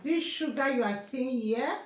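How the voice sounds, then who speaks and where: High-voiced woman slowly showing groceries. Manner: slow and repetitive, restating each price and asking viewers if they can see. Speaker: vlogger. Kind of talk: grocery haul show-and-tell. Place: kitchen, indoors. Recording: echoey room with faint noise.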